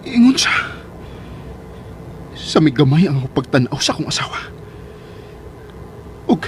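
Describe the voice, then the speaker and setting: A man playing a troubled, guilty married man in a radio drama monologue, halting and anguished. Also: fairly high pitch, darkish tone. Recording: noisy ambience behind the voice.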